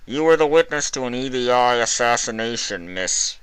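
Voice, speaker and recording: A man voicing an unseen interrogator in a story, measured, menacing and coolly condescending. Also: medium pitch, animated, fairly bright tone, clean tone, no room sound, voice pushed up, close to an everyday voice, rough recording. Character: cool, menacing unseen interrogator over speakers.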